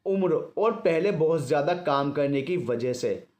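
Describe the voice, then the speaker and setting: A man's voice: fairly high pitch, expressive, unhurried, darkish tone. A man voicing a female doctor in an audio story, explaining things politely and reassuringly.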